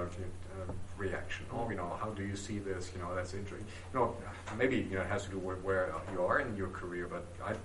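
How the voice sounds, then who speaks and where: Low-voiced man on an academic panel. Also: a bit bright, slight background noise. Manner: frequent "you know" fillers. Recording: roomy, distant mic.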